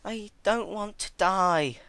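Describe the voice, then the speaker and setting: Someone voicing a high, desperate, pleading pony princess. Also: slow pace, fairly bright tone, clear, resonant tone, no echo. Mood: tearful pleading. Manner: pleading, shrieking, high-strung. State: crying. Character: desperate, terrified female pony princess begging for life.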